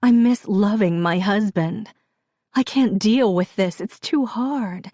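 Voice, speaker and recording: An overwhelmed mother voiced by a female narrator speaks high and tearfully. She sounds flustered and apologetic, on the verge of sobs, in a dry audiobook recording. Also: slow pace, darkish tone, no room sound. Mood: despairing. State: on the verge of sobbing.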